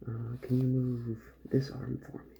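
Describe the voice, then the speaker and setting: A man doing a soft-spoken ASMR roleplay as a calm, methodical repair doctor, musing gently to himself and often drifting into a whisper. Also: mid-range voice, unhurried, vocal fry, breathy edge. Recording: muffled audio with faint background noise.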